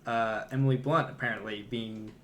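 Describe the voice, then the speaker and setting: A man's voice: medium pitch, steady tone, normal speed, fairly bright tone, a little hiss or hum. A man in casual conversation as a podcast co-host discussing films.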